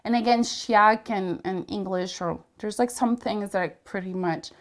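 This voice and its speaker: A woman in her thirties hosts a relaxed interview, her voice sitting in an ordinary middle register. She speaks English as a second language after Spanish, and her talk is warm with praise and peppered with "like.". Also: mid-range voice, normal speed. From Latin America, Spanish-speaking. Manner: effusive, many "like" fillers. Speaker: host. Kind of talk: casual interview chat.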